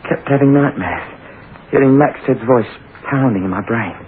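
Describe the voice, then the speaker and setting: A man's voice: mid-range voice, normal speed, slight background noise, natural voice. A man with a British accent acting a guilt-ridden, anxious man in an old radio drama, agitated and hesitant. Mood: haunted, desperate. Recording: tinny old radio broadcast, music behind.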